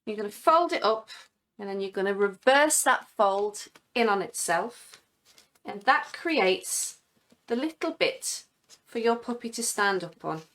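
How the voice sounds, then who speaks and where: A woman giving step-by-step craft instructions in a clear, methodical way. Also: medium pitch, expressive, unhurried, fairly bright tone.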